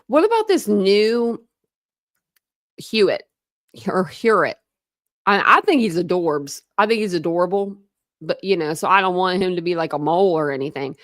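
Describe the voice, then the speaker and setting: A woman's voice: on the low side, animated, no room sound. Chatty American woman with a dry sound. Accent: mixed Midwest and Southern American. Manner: chatty, rambling, quick asides with laughs. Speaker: true crime livestream host. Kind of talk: casual livestream chat reading comments.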